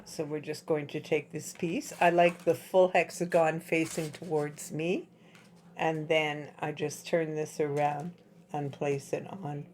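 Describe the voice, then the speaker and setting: A woman with a low, husky voice talks through a craft project step by step. Her voice is slightly hoarse from dry air, and her tone stays relaxed and chatty as she works with her hands. A faint hiss and the odd click sit under the recording. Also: measured pace. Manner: chatty, explains while working with her hands. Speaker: craft tutorial host.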